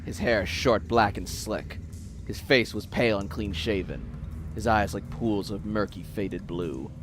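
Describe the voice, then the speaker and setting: A man's voice: on the low side, unhurried, music in the background. A man delivering dramatic scripted narration for an audio story.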